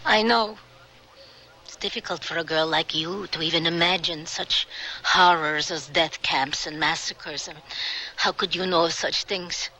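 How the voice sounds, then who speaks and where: An actress playing a grave, forgiving woman survivor in a radio drama, speaking solemnly and deliberately. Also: lowish voice, pushed and bright, rough recording. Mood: gentle. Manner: solemn, deliberate, rhetorical delivery.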